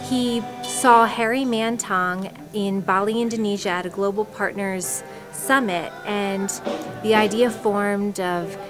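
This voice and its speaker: A woman's voice: medium pitch, fairly even intonation, takes it slowly. A woman explaining a project in an interview as its promotions manager. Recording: music playing behind the voice. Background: music.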